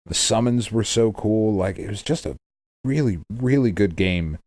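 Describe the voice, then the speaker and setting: A man's voice: fairly low pitch, lively intonation, moderate pace, a little mellow, dry recording, studio quality. A man co-hosting a podcast in a casual, conversational chat. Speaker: podcast co-host. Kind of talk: casual chat about video games.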